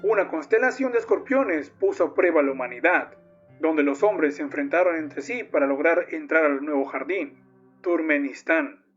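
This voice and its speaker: A man's voice: a little high, normal speed, a bit bright, muffled recording, faint music behind. A man playing a formal, solemn documentary narrator, reading an official report in a scripted analog horror video. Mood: solemn, ominous.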